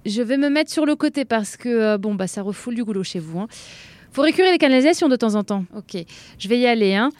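A woman's voice: a little high, lively intonation, quick pace, darkish tone, dry recording, lightly acted. A woman playing a young, earnest and smug anarchist country doctor in a comic audio drama. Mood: brisk, flustered. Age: young adult. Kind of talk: French comic audio fiction acting.

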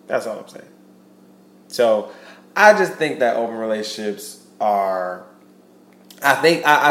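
A young man hosting a solo podcast, talking loosely with slang, fillers and restarts, and sounding stuffy from a cold. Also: fairly low pitch. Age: young adult. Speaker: podcast host. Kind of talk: casual solo podcast monologue. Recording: roomy echo with faint ambient noise.

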